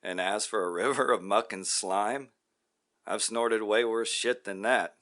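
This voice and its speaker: A man voicing a desperate, burned-out male junkie in a poetry reading, pleading and shouting in a high, loud voice. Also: measured pace. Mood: scoffing. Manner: pleading, then raging and shouting.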